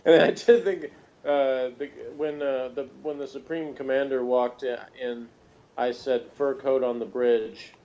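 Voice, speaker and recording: A man's voice: mid-range voice, steady tone, measured pace, dark tone, lo-fi sound. A man chatting casually as a reviewer, rambling and jokey, with pop-culture asides. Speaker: podcast reviewer.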